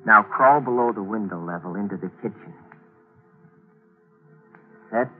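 A man's voice: fairly low pitch, unhurried, husky, soft background music. A man in a 1940s radio drama playing a scheming plotter who also narrates, clipped and commanding. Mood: cold, commanding. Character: cool, scheming male schemer plotting a cover-up. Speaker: narrator and lead character. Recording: tinny old radio broadcast with hiss.

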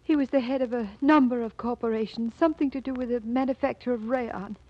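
A woman's voice: a little high, fairly even intonation, a little fast, no echo, natural voice. A woman playing an anxious, guarded widow under questioning in a 1940s radio drama, hesitant and earnest. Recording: muffled, dry 1940s radio recording.

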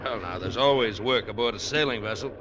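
Actor as gruff ship's captain, very noisy old radio. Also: male voice, on the low side, fairly quick, muffled recording, lightly acted. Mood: wry, challenging. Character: tough, gruff sailing-ship captain, hard and wry. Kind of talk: 1940s-50s radio drama acting. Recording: very noisy vintage radio recording.

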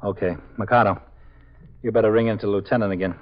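An actor playing a brusque, no-nonsense police sergeant in a 1950s radio drama, curt and commanding. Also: male voice, lowish voice, steady tone, fast talker, lightly acted. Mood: businesslike. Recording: dull 1950s radio broadcast recording.

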